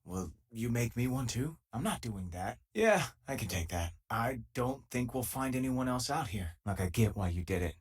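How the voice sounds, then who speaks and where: A man voicing an easygoing, wry spacefaring crewmate in a video game, with a husky voice and a casual, conversational delivery. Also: medium pitch, lively intonation, moderate pace, hardly any pauses. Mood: casual, friendly. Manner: casual, conversational, dryly joking. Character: easygoing, wry male spacefaring crewmate, loyal and self-deprecating. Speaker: game companion character. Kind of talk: video game voice lines, naturalistic acting.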